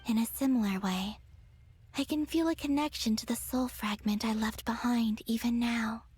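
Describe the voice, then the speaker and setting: A woman voicing a serene, resolute young moon goddess in a video game, speaking softly in a measured, breathy way. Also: a little high, a little hiss or hum, close to an everyday voice. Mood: calm, certain.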